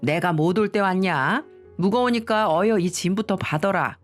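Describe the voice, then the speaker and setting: A woman's voice: mid-range voice, normal speed, soft background music, close to an everyday voice. Woman voicing a curt, bossy mother-in-law. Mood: brusque, demanding. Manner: curt, commanding.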